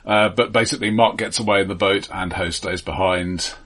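A man casually discussing a film as a podcast co-host, with a halting delivery full of repeated words and dry, understated humour. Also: deep voice, steady tone, a bit bright.

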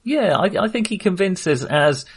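A man's voice: high-pitched, animated, a little slow, breathy edge. A man co-hosting a podcast, talking casually and informally in a film discussion. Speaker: podcast co-host.

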